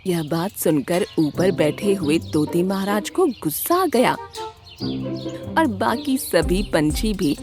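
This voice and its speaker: A woman narrating a scripted children's story as an expressive storyteller. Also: on the low side, slow, music in the background.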